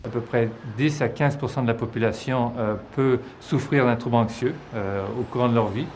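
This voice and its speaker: Man, expert interview, explanatory, halting, mid pitch, faint hum. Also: steady tone, a little mellow. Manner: explanatory, with many fillers. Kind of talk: documentary interview.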